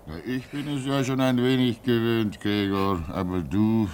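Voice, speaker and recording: An actor playing a devout, fatherly polar researcher in a radio play, consoling and admonishing in a gentle, pious manner. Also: lowish voice, fairly even intonation, moderate pace, a little hiss or hum, lightly acted.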